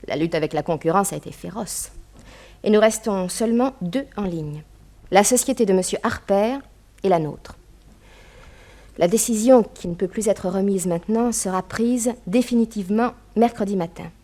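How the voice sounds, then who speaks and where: An actress as a young woman of good family in a 1960s French radio serial. She is anxious but determined, with a medium-pitched voice and earnest, articulate, emphatic delivery. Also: moderate pace, warm tone, close to an everyday voice. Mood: earnest, urgent. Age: young adult. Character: anxious, determined young daughter of a rich businessman.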